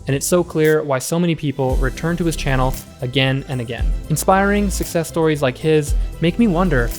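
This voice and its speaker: A man's voice: a little high, fairly quick. Young guy doing scripted youtube narration, close mic, faint music. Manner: clear, explanatory. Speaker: host, video narrator. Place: home studio room with whiteboard. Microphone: studio mic on a boom, close.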